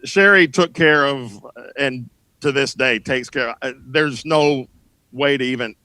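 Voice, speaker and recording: A middle-aged man with a bright, forward voice at an ordinary mid pitch talks casually as a podcast guest. He reminisces in anecdotes, often halting to find the next phrase. The sound is close and dry, with very little room around it. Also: dry recording, rough recording. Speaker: sports broadcaster being interviewed as a guest.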